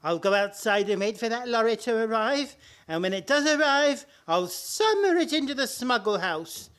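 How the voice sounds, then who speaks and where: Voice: mid-range voice, animated, normal speed, fairly bright tone, clean tone, lightly acted. An actor in a comic radio play playing a genial, timid, henpecked older father-in-law, hesitant and a little fussy, with old-fashioned politeness. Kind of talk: stage-comedy radio play acting.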